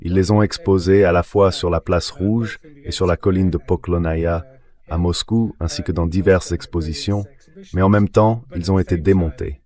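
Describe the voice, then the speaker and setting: A man with a low voice gives geopolitical commentary as an interview guest. His delivery is measured and analytical, in the synthetic voice of a dubbed translation. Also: steady tone, a little mellow.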